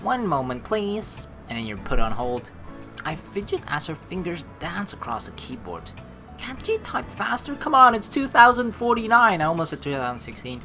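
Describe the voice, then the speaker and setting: Brief: casual woman streamer reading a game aloud, deep voice, lively swings, music under. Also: normal speed. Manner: casual, rambling, self-correcting. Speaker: let's play host. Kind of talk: reading a visual novel aloud with chatty asides.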